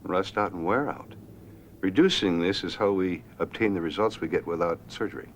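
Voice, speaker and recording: A man acting as a calm, earnest physician in a scripted drama, explaining things in a measured, formal, instructive way. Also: low voice, slight background noise, natural voice. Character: calm, earnest male doctor explaining treatments. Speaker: actor playing a physician.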